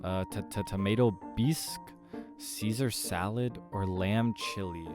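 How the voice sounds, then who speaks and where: Man acting a rattled young waiter, raised pitch. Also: lively intonation, moderate pace, music in the background, close to an everyday voice. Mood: terrified politeness. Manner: nervous, stammering when serving. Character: frightened, sarcastic young human waiter.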